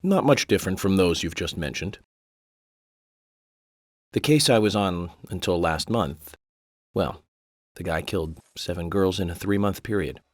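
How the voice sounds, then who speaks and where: Low-voiced, deadpan federal agent. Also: male voice, no echo. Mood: matter-of-fact, morbid. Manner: deadpan, measured, matter-of-fact about grisly things. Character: eccentric, deadpan FBI agent, polite and blithely morbid. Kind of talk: video game cutscene acting.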